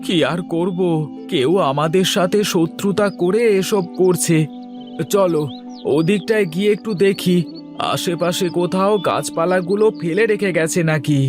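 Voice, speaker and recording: A man's voice: high voice, a little slow, music in the background. A man voicing a poor, anxious village husband and father in a cartoon, speaking in an earnest, plaintive dramatic way. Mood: concerned. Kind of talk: Bengali cartoon story dialogue.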